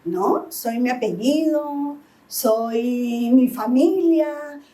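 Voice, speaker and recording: Female pastor, middle-aged, Lima accent, slow deliberate sermon, mic set back in the church. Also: sits high, warm tone, far from the mic. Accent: Peruvian (Lima). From Peru, Lima. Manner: asks the congregation "¿sí?" again and again.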